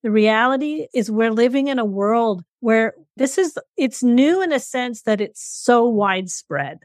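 A woman's voice: a little high, lively intonation, unhurried, a little mellow, no room sound, clean studio sound. A middle-aged woman, a parent and guest co-author, speaking earnestly in a casual interview with frequent fillers.

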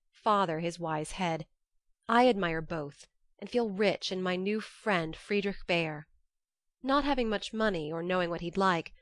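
A woman reading as a lively, affectionate young woman writing letters home, expressive and confiding, in an audiobook. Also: on the low side, dry recording, natural voice.

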